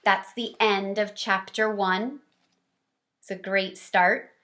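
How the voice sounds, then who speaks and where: A woman reading a children's book aloud as a storyteller, in an expressive teacherly way. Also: mid-range voice, slow.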